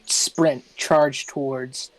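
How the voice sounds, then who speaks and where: A tabletop game player speaking casually in a hesitant, halting way. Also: medium pitch, fairly even intonation, a little hiss or hum, rough recording.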